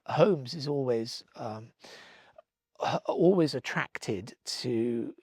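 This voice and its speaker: A man with a gravelly, husky voice, chatting haltingly in an interview. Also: medium pitch, a little mellow, no echo, clean studio sound. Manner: halting, repeats words while searching. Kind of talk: interview chat.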